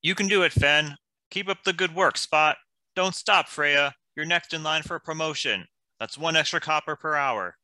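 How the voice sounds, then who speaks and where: A man playing an upbeat, earnest archer who motivates his team, in an animated fantasy table read. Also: a little high, normal speed, no echo. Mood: cheering. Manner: encouraging, chipper.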